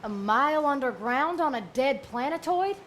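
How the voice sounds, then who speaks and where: A woman's voice: high voice, expressive, moderate pace, a bit bright. A woman playing grumpy, sarcastic male starship officers in a comedic staged reading. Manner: sarcastic, exasperated. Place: live theater staged reading. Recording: live stage recording with clear background noise.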